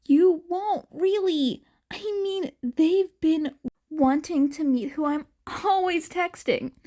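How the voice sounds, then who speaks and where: A woman's voice: high-pitched, slow, mellow, voice pushed up. A woman voicing an upbeat, loyal teenage girl with an eager, bubbly way of talking.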